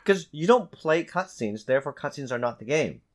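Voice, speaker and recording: A man with a lively, swinging pitch argues his case in a casual podcast chat. He is emphatic and insistent, restarting and repeating phrases as he pushes his point. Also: normal speed. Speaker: podcast co-host.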